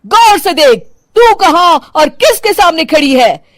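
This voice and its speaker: A woman acts out an older retired policewoman who rules her household as mother-in-law. Her voice is high and stern, with unhurried, commanding phrasing in a storybook drama. The sound is very dry. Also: very animated, no room sound, exaggerated voice. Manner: commanding, stern, drill-sergeant barks.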